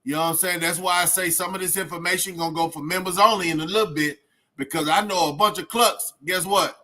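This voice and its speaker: High, bright-voiced man ranting casually. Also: fairly even intonation, fast. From Texas, United States. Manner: streetwise slangy talk with frequent "man" and "bro". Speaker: host of a reaction livestream. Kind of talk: casual opinionated rant to viewers.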